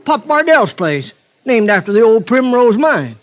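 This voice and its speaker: An actor playing a garrulous backcountry American old-timer, with a rural accent and a rambling, folksy manner in an old radio drama. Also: male voice, very high voice, big pitch swings, measured pace, exaggerated voice. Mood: chatty, informative. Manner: rambling, hesitant, folksy and chatty. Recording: muffled vintage radio recording.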